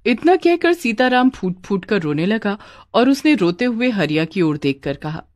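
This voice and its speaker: A woman's voice: medium pitch, big pitch swings, moderate pace, darkish tone, no echo, studio quality. A woman narrating a scripted village cartoon story.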